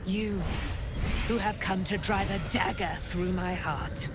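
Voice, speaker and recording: An actress playing Nightsong, a calm, sorrowful, commanding ageless woman, speaking slowly and solemnly in a video game. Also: mid-range voice, over loud music, close to an everyday voice. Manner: slow, solemn, knowing delivery.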